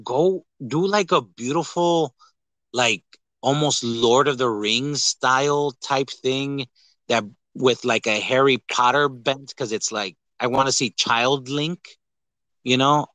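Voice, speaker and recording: A man with a bright, forceful voice, recorded close and dry. He chats casually as a podcast co-host, rambling with animation and swearing freely. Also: mid-range voice, takes it slowly, no echo. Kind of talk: casual chat about films and games.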